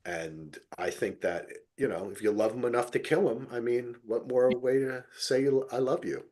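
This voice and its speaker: A man's voice: medium pitch, normal speed, darkish tone. A middle-aged man hosting a podcast, talking in an effusive, rambling, conversational way about television. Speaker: podcast host. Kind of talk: casual TV recap podcast chat.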